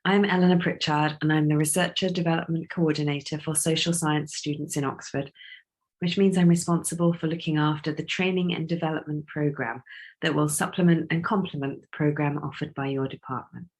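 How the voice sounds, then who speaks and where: A woman, croaky and a bit scratchy from a cold, giving a measured recorded welcome talk as a university training coordinator, with frequent ums and restarts. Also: low voice, fairly even intonation, a little mellow. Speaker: researcher development coordinator.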